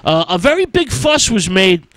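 A man hosting a radio show, giving an informal history lecture in a halting, drawn-out way with emphatic stresses. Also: sits high, sing-song, a bit bright, no echo. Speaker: radio host.

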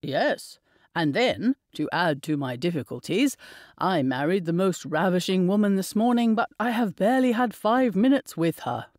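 A woman voicing a wry, low-voiced gentleman. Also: lively intonation, moderate pace, no echo, studio quality, natural voice. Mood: teasing. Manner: low, drawling, wry teasing. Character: young gentleman husband, dry and playful.

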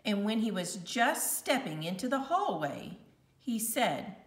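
A woman reads a children's book aloud at an unhurried pace, with very lively pitch. She phrases deliberately and leans on key words. The sound is roomy. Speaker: narrator reading a children's novel aloud. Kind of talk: read-aloud storytelling. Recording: roomy, slightly distant home recording.

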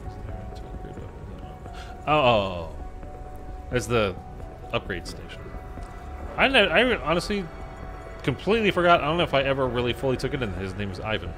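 A man's voice: medium pitch, sing-song, normal speed, a bit bright, soft background music. A man casually commenting on a game as a streamer, loose and rambling with many fillers.

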